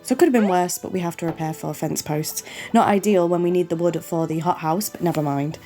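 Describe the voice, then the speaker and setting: A woman's voice: lowish voice, brisk, mellow, soft background music. A woman with a cold, sniffly and losing her voice, chatting her way through a farming game playthrough and apologising along the way. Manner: apologetic, chatty, talks the viewer through each step. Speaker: gaming channel host. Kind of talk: relaxed let's-play commentary.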